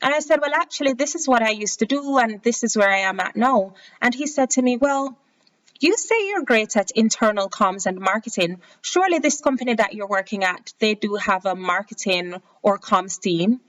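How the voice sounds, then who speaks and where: A woman's voice: on the high side, normal speed, dull audio. Chatty Jamaican woman telling her story. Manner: chatty, animated when quoting others. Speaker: podcast host. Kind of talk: casual personal storytelling.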